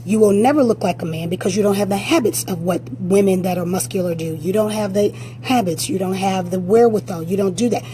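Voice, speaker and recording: Raspy lady coach going live on her phone, mellow low-key tone, blunt and pushy, faint hum behind. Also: fast talker, few pauses. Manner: blunt, direct, motivational. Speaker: fitness coach.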